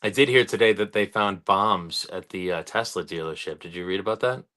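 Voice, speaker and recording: A man's voice: low voice, brisk, sharp tone. A man co-hosting a casual talk show, bantering and laughing easily. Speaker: podcast co-host. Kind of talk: casual chat.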